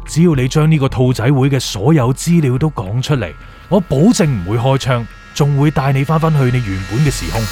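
A man's voice: medium pitch, lively intonation, a little fast, hardly any pauses, a little mellow, natural voice. Man acting a domineering soul prosecutor, swooping delivery. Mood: coaxing, deceitful. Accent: Hong Kong Cantonese. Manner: commanding, threatening, pompous. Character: ruthless, domineering male soul prosecutor hunting fugitives. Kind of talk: radio drama acting. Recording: music bed behind the voice.

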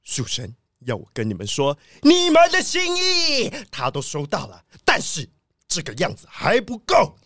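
An actor playing a theatrical self-styled master who talks to plants, chanting and proclaiming in a children's audio drama, with drawn-out exclamations. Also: medium pitch, huge pitch swings, no echo, studio quality. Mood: solemn, commanding. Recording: music bed under the voice. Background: loud music.

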